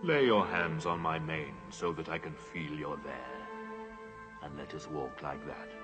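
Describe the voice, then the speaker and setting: Noble lion king: low-pitched, stately and solemn, gentle but sad, fantasy drama with clear music underneath. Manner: grave, measured, stately. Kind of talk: dramatic fantasy film acting.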